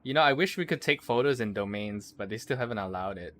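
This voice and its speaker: A man's voice: fairly low pitch, lively intonation, quick pace, fairly bright tone, slight background noise. A man livestreaming a video game, giving slangy, offhand commentary as he chats to viewers. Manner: slangy, offhand, muttering to chat. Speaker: livestreamer, VTuber. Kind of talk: casual gameplay stream commentary.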